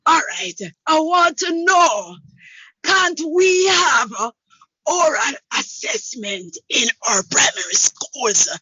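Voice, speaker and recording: A woman teacher with a hoarse voice. She asks her question in an earnest, emphatic way. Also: sits high, very animated, slow, sharp tone, no echo, highs cut off. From Jamaica.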